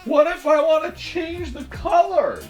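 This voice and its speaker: A performer voicing an excitable, childlike monster puppet in a children's puppet show, with exaggerated, drawn-out delivery. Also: sits high, soft background music. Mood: curious.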